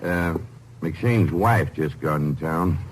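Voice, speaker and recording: An actor playing an easygoing small-town Texas sheriff, plain-spoken and laconic, in a 1950s radio drama. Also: male voice, extremely low pitch, slow pace, husky, heavily acted. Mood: sober, businesslike. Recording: noisy old 1950s radio recording.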